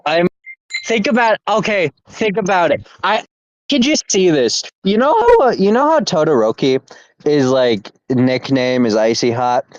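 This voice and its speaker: A man's voice: on the high side, big pitch swings, measured pace, no echo, dull audio. A man co-hosting a casual podcast chat, talking in a laid-back, rambling way and laughing often. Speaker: podcast co-host.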